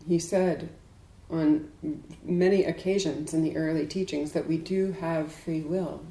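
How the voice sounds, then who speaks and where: A woman giving a dharma talk to a group as a Buddhist teacher, speaking in a measured, deliberate way with emphatic pauses. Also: deep voice, fairly even intonation, dark tone. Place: indoors in a room. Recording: echoey room.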